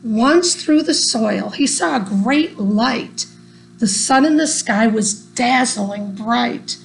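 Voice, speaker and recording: Woman, slow pace, expressive storybook reading, rhyming verse, slight background noise. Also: a little high, vocal fry, low-quality recording. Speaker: storybook reader. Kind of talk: read-aloud rhyming children's story.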